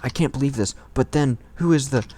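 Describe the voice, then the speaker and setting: Guy doing a high indignant bat lady, game character, shouty and incredulous, noisy recording. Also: a little fast, natural voice. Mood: shocked, indignant.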